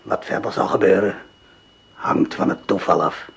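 A husky, gravelly wartime plotter. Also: male voice, sits low, normal speed, heavily acted. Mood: tense, conspiratorial. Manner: terse, probing, conspiratorial. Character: hard, wary resistance plotter leading an ambush. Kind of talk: radio drama acting.

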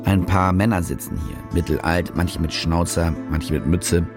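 A man's voice: sits low, normal speed. An actor playing a jaded male war reporter who confesses his fraud in sardonic, laconic radio drama narration. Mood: cynical. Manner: sardonic, laconic, crude slang. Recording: music behind the voice.

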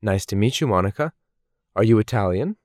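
This is a man reading a language-course script. His voice sits a little low but moves lively up and down. He speaks slowly and clearly, and the recording is dry and close. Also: dry recording. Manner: slow, clearly articulated, measured delivery. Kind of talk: scripted textbook dialogue reading.

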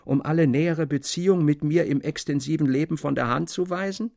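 Creaky, higher-pitched male literary narrator. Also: fairly quick, darkish tone, no echo, clean studio sound. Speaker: audiobook narrator. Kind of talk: literary storytelling, scripted narration.

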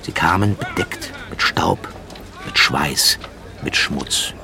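A man narrating a literary radio drama in a measured, solemn style with dramatic phrasing. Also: extremely low pitch, huge pitch swings, noisy background. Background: prominent music.

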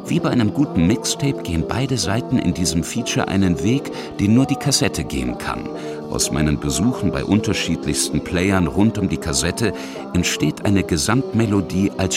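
A middle-aged man narrating a scripted radio feature as its author. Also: sits low.

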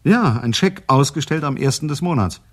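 An actor playing a polite, probing private detective in radio play dialogue, matter-of-fact and slightly husky. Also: male voice, on the high side, expressive, moderate pace. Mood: businesslike.

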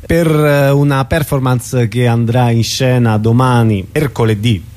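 A man's voice: medium pitch, fairly even intonation, measured pace, a little mellow. A man hosting an informal radio interview, hesitant and searching for his words.

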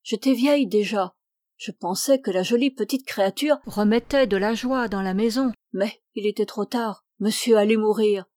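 A woman voicing an old peasant servant woman who is wary and talkative, with loud, quick, rustic speech. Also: medium pitch, no room sound, studio quality, close to an everyday voice. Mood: garrulous.

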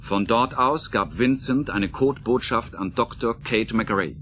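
A man's voice: mid-range voice, unhurried, fairly bright tone, a little hiss or hum. A man narrating a science fiction radio play as scripted, dramatic storytelling. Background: music under the voice.

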